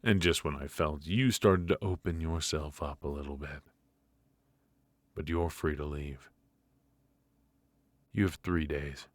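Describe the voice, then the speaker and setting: Man acting a very deep-voiced, smug mafia boss. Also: no echo. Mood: mocking, controlled. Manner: smooth-talking, teasing, deliberate with drawn-out pauses. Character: smug, menacing male mafia boss, courteous and ruthless. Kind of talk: ASMR roleplay acting.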